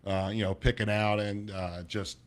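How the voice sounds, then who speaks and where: A middle-aged man hosting a casual sports talk show, his voice a little raspy, rambling with many restarts and sounding sluggish. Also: on the low side, flat delivery, moderate pace. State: sluggish, low energy. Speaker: sports radio host.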